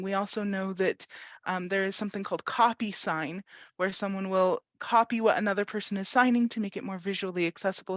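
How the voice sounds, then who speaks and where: Woman moderating a panel, polite clear questions, dry close mic. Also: mid-range voice, dry recording.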